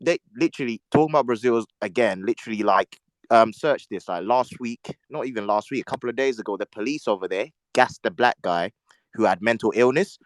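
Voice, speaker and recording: A man's voice: medium pitch, fairly quick, dry recording, rough recording. A man calling in to a debate, talking in a rambling, hesitant way with many fillers. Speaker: caller. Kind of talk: casual debate.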